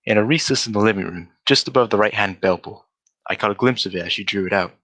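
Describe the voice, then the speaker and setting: An actor playing a shrewd, confident gentleman detective in old-style radio drama, politely explaining himself in an assured manner. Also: lowish voice, quick pace, close to an everyday voice. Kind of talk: 1940s radio drama acting.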